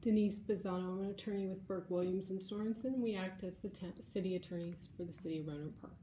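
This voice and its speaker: A woman's voice: medium pitch, steady tone, fast talker, warm tone. A woman speaking as a city attorney at a hearing, direct and businesslike in procedural talk. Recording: echoey room, faint background noise.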